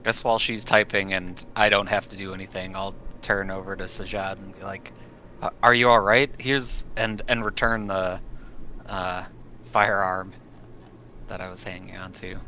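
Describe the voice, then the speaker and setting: A man's voice: low voice, little pitch movement, moderate pace, sharp tone. A man playing a tabletop roleplaying game, chatting casually and speaking for his character. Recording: muffled, with background noise.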